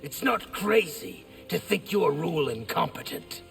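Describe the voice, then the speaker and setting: A defiant rebel warrior from a fantasy game. The voice is high and sharp, full of heavy grit. He taunts with contempt and big swings in pitch. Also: big pitch swings, unhurried, gravelly, soft background music, exaggerated voice. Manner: forceful, taunting. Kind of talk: video game dramatic acting.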